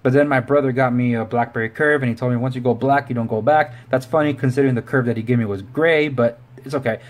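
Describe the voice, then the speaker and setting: A man's voice: medium pitch, fairly even intonation, quick pace. A man hosting a casual tech review, talking informally and rambling with self-correcting asides. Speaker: tech review show host. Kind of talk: casual video review talk to camera.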